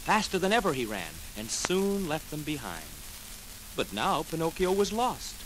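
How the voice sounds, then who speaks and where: A man narrating a children's story dramatically, with clear enunciation, in a 1940s record style. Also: fairly high pitch, sing-song, moderate pace, background noise. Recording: old 78 rpm record with music.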